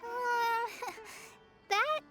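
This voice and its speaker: A shy, sweet teenage girl from a video game. The voice is very high and childlike, hesitant and earnest, with an anxious streak. Faint music runs underneath. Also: drawn-out words, bright, forceful tone, full character voice. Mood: worried. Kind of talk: video game character voice acting.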